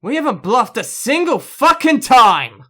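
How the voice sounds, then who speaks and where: A woman's voice: sits high, sing-song, unhurried, noisy background. A woman playing a crude, menacing, impatient villain in an audio drama, taunting with a swagger. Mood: menacing impatience.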